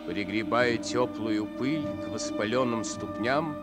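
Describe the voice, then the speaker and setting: An actor narrating a Soviet wartime radio play in a grave, deliberate, declamatory manner. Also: male voice, fairly low pitch, natural voice. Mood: solemn. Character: solemn narrator of a wartime epic. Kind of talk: solemn dramatic scripted narration of a Soviet war radio play. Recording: old radio play, loud music behind. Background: music.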